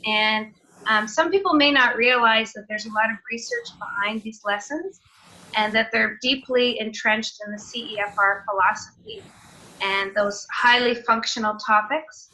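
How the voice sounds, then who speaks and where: A woman's voice: a little high, moderate pace, bright, forceful tone, low-quality recording. A Canadian woman presenting a teacher seminar over a video call, explaining in a friendly way with some fillers. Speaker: teacher trainer.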